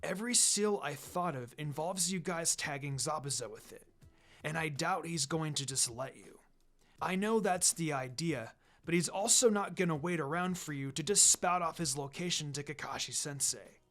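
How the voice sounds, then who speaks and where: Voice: medium pitch, moderate pace, a bit bright, natural voice. A reader playing an eager, scrappy boy ninja in a story reading. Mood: doubtful. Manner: brash, explaining eagerly.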